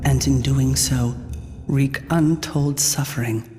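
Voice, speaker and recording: A woman playing a grave, stern member of a secret order in a video game, formal and measured. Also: a bass voice, steady tone. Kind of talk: video game cutscene acting. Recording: hall reverb with music.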